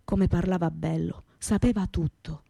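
A woman's voice: lowish voice, steady tone, a little slow, vocal fry, warm tone, breathy edge, no room sound, studio quality, full character voice. An actress playing a devout young working-class woman with a Calabrian Italian accent, telling her story earnestly and emotively in a radio theatre monologue. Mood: earnest, uneasy. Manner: emotive storytelling in plain, dialect-tinged popular speech.

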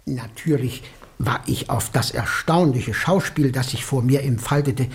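An actor playing an eccentric, earnest and slightly pompous country clergyman in a German radio drama, hesitant and flowery, with a husky, breathy voice. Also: mid-range voice, measured pace. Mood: awed, mysterious. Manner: hesitant, stammering, flowery wording.

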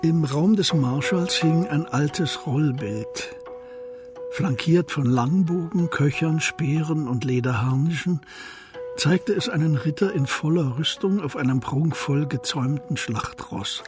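A man's voice: on the high side, measured pace. A man narrating a radio drama in a scripted literary storytelling style. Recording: music behind the voice. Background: music.

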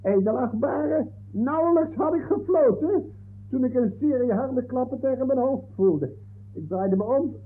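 Shrill, indignant referee on old radio. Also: male voice, expressive, unhurried, exaggerated voice. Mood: outraged. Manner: indignant, reads out notes, protesting. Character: aggrieved, pedantic male football referee in court. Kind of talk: 1960s comic radio play acting. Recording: tinny, narrow-band 1960s radio recording.

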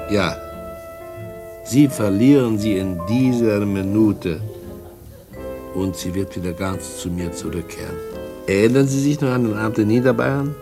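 An actor in a radio drama playing a sly, sinister drug dealer and blackmailer, mockingly polite, smug and cajoling. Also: male voice, lowish voice. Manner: smug, cajoling, mock-courteous, chuckling. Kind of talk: mid-century German radio drama acting.

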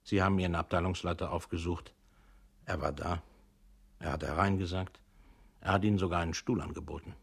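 Radio drama narrator: man, bass register, husky, sober, formal second-person storytelling. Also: steady tone, a little fast, pauses often, exaggerated voice. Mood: sober recounting. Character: sober male narrator addressing a weary employee.

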